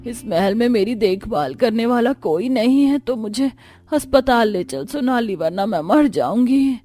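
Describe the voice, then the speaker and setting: A woman's voice: a little high, lively intonation, measured pace, mellow. A woman voicing an ailing, demanding middle-aged stepmother in a cartoon story, weak and pleading. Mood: suffering, pleading.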